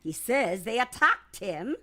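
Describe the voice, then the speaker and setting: A woman's voice: on the high side, sing-song, slow pace, pushed and bright, full character voice. A woman acting in a radio drama as a chatty, mocking, good-humored country farmer's wife. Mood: doubtful.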